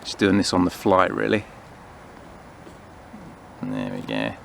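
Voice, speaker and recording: Male outdoor vlogger, thirties, fairly low pitch, relaxed unscripted talk into a camera. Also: slow pace, gravelly, a little hiss or hum. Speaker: vlogger reviewing outdoor gear. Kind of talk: casual unscripted product review and chat. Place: outdoors in autumn woodland.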